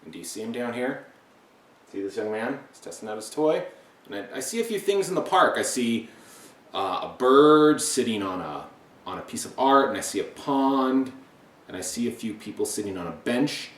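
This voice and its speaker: A man reading a children's picture book aloud with asides, talking directly to young listeners in an animated way. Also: mid-range voice, normal speed. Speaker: read-aloud storybook reader. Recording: echoey room, distant mic.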